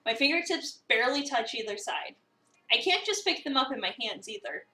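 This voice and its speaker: A woman reading a visual novel as an eager, awkward new cafe employee, chatty, expressive and self-deprecating. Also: fairly high pitch, brisk. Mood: eager, flustered. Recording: roomy, a bit far from the mic.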